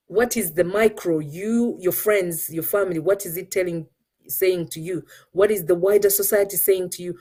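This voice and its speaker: Middle-aged Ugandan-accented woman host, mellow dark tone, earnest and coaching. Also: on the low side, moderate pace, husky. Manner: earnest, mixes English with Luganda. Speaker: show host. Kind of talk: motivational talk.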